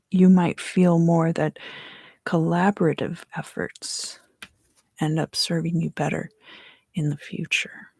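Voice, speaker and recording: Breathy, half-whispering woman, slow and husky. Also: lowish voice, dry recording. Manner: slow, soothing, hushed guided delivery. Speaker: tarot card reader and host. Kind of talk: ASMR tarot reading, half-whispered.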